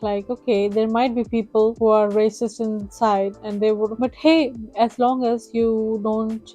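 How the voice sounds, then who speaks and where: A woman's voice: on the high side, dark tone. A young woman whose first language is Hindi tells her own stories in a chatty, casual way, with many fillers and acted-out quotes. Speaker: vlogger. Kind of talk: casual storytelling to camera.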